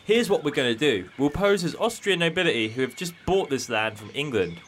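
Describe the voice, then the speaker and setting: A man's voice: on the high side, sing-song, fairly bright tone, a little hiss or hum. A man playing a cheeky, scheming convict who talks in matey slang in a comic story. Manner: matey, slangy fast-talker. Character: cheeky, scheming male convict posing as easygoing nobleman.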